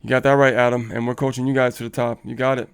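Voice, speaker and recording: A man's voice: mid-range voice, level tone, quick pace, clean tone. A man co-hosting a casual live coaching stream, upbeat and motivational, laughing often and reading viewer comments aloud.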